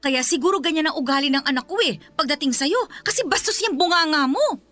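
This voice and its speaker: Nagging filipino mother in law, middle aged, pitch all over the place, brushes things off, radio drama. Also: lively intonation, pushed and bright, no room sound, faint music behind. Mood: indignant. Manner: nagging, dismissive, drawn-out phrases.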